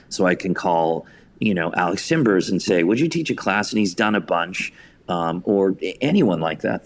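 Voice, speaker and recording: A man's voice: sits low, slight background noise. A man being interviewed, telling his story in long, earnest, reflective and conversational answers. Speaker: interview guest, theater director and writer.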